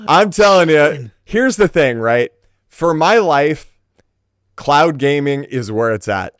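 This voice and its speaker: A man's voice: a little high, animated, slow pace, clean tone, no room sound, clean studio sound. A man co-hosting a casual gaming podcast, speaking informally and emphatically with plenty of slang. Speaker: podcast co-host.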